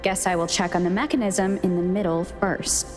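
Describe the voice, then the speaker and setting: A woman voicing a calm, analytical young adventurer in a video game, musing to herself in a measured, matter-of-fact way. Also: fairly low pitch, faint music behind, lightly acted. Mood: focused, curious. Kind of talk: video game voice acting, inner monologue.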